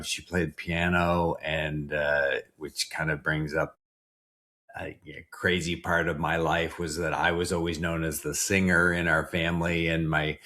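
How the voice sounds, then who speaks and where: Canadian dude, deep voice, kinda rambly, doubles back on his words. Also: fairly bright tone. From East Coast Canada. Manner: rambling, self-correcting. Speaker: podcast guest. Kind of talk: casual interview chat.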